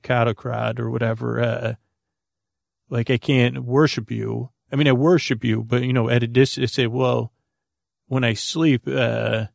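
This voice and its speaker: A man with a gravelly, fry-laden voice hosts a bedtime podcast in a very dry recording. He improvises whimsically, wandering off track and trailing away mid-thought. The delivery is halting and goofy, meant to lull a listener to sleep. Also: mid-range voice, dry recording. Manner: meandering, halting, trails off and loses the thread. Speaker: podcast host. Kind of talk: rambling improvised bedtime monologue to help listeners fall asleep.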